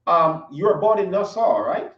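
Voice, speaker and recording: Man, talk show host, mid pitch, halting with fillers, roomy sound, far from mic, dull audio. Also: sing-song. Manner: halting, with restarts. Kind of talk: interview hosting.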